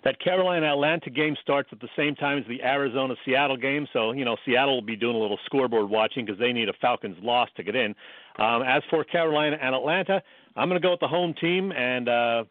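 An American man co-hosting casual sports radio, talking in a measured, explanatory way. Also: medium pitch, dry recording. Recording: dry, phone-like narrowband radio sound.

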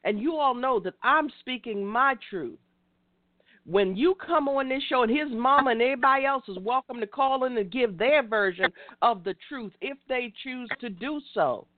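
A woman's voice: a little high, moderate pace, no room sound, narrow, tinny audio. A woman in her fifties hosting a call-in talk show, speaking in a preachy way and addressing listeners directly. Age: 50s. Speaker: radio show host.

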